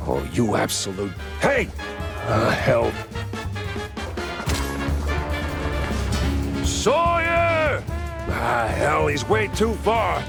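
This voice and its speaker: An actor playing a tough, wisecracking mouse private detective in a noir cartoon video game, with a sardonic, hard-boiled manner. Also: male voice, a little high, huge pitch swings, takes it slowly, vocal fry, over loud music, full character voice. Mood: angry, threatening.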